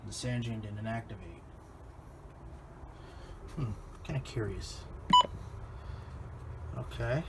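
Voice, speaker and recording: A man's voice: fairly low pitch, slow pace, vocal fry, long pauses. A man chatting casually and unscripted in an offhand, muttering way, as a hobbyist narrating his own phone video. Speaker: radio hobbyist filming videos. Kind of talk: casual unscripted vlog chat. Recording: noisy phone video recording.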